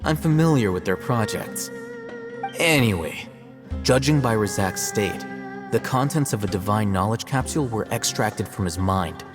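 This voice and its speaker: An actor playing a calm, measured male academy scribe who explains things in a scholarly way in a video game. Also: on the low side, close to an everyday voice. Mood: reasoned. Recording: long reverb with game music.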